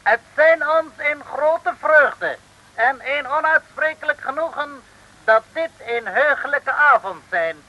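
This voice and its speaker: An actor playing a pompous island ruler with a mock Chinese accent, declaiming grandly in a 1950s Dutch radio serial. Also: male voice, extremely high pitch, slow pace, sharp tone, muffled recording, exaggerated voice. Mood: pompous, commanding. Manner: grandiose, declamatory pidgin speech.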